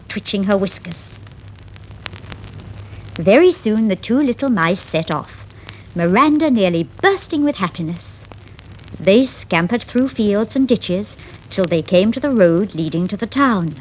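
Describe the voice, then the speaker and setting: A woman narrating a scripted children's fable in a dramatic, expressive storytelling style. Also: medium pitch. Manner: dramatic, expressive, deliberate phrasing. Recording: noisy 1960s vinyl record.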